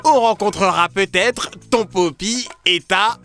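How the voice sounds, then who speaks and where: A woman's voice: medium pitch, unhurried, fairly bright tone, faint music behind. A woman voicing a playful, joking young boy adventurer in a children's cartoon, eager in manner.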